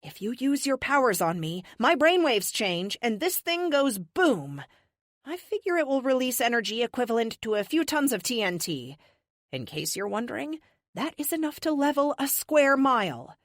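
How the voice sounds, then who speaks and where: Audiobook heroine played by a woman: flippant, sarcastic, bold, raised pitch with big swoops. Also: normal speed, dry recording, studio quality. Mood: defiant. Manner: sarcastic, animated. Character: sassy, flippant American heroine, theatrically bold bluffer.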